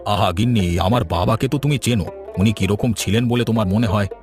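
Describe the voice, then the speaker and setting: A man's voice: lowish voice, fairly quick, darkish tone, soft background music, natural voice. A man playing a nostalgic, folksy old village husband in a cartoon, often complaining. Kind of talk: cartoon dialogue.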